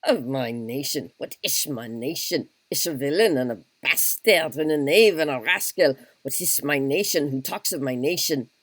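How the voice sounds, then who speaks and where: A man's voice: a little high, moderate pace, few pauses, a bit bright, no room sound. Man putting on a fiery Irish captain, blustering oaths, dry close sound. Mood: furious. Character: hot-tempered boastful male Irish captain. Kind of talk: solo dramatic reading of a stage play.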